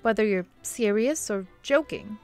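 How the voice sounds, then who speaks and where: A woman plays a bishop's wife in an audio drama. She is kindly and refined, speaking politely and with some hesitation at a medium pitch. Music sits behind her voice. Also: lively intonation, measured pace, a little mellow, lightly acted. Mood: wistful. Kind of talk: radio-play style dramatic acting.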